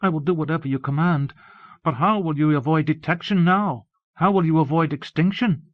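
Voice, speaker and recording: A man voicing an eager, murderous minion in an audiobook, fervent and servilely devoted to a dark master. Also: fairly high pitch, brisk, no echo, voice pushed up, close to an everyday voice. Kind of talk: audiobook character voice. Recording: muffled old cassette audiobook.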